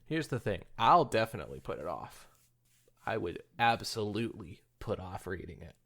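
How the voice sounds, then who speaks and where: A man's voice: fairly low pitch, sing-song, normal speed, vocal fry, fairly bright tone. A man co-hosting a podcast, chatting in a casual, joking way. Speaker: podcast co-host.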